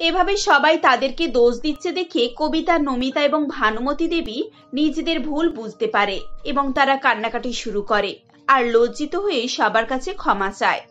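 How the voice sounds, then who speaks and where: A woman narrating a cartoon story in a steady storytelling manner. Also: high voice, moderate pace, muffled recording, faint music behind.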